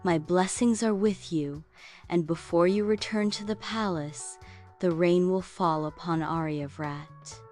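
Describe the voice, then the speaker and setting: Female angel guardian for bedtime story, acted by a woman: gentle, solemn, low-pitched, unhurried, faint music underneath. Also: close to an everyday voice. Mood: proud, blessing. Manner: measured, formal, benevolent. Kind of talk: scripted children's bedtime story acting.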